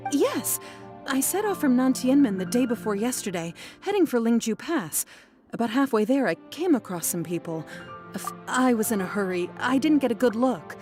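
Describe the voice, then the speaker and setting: A woman's voice: on the high side, sing-song, moderate pace, over music. A woman playing a polite, earnest young female scholar in a video game, with an apologetic air. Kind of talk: video game character acting.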